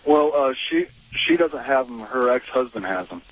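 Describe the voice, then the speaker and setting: A man's voice: medium pitch, animated, low-quality recording. Hesitant man in his twenties on a cell phone. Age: 20s. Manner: hesitant, halting. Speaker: caller. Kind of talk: phone call to radio.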